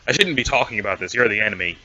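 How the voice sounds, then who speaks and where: A man's voice: fairly low pitch, expressive, racing, sharp tone, lo-fi sound, a little hiss or hum. Man doing a haughty, stilted alien aristocrat. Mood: perplexed, disdainful. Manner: stilted, haughty, wordy diction. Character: stiff pompous formal alien aristocrat, sweaty and strange. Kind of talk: amateur comic dramatic reading.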